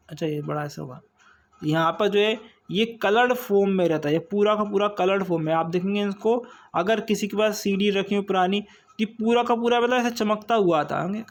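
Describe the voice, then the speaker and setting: A man with an Indian accent giving an informal exam-prep lesson, reading out quiz questions and explaining them with repeated check-in questions. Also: sits high. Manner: repetitive, checks in with listeners using questions and frequent tags. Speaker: online exam-prep teacher.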